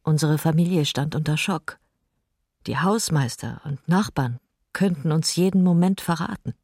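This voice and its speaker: A woman's voice: low-pitched, normal speed, a little mellow, no echo, studio quality. An actress playing a frank, bitter woman witness giving testimony in a documentary radio drama.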